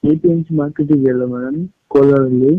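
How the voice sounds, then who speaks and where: A man's voice: medium pitch, little pitch movement, fast talker, close to an everyday voice. A man on a prank call, playing a whiny, hesitant, wary homeless teenage boy. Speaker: prank caller. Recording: dull, noisy phone prank recording.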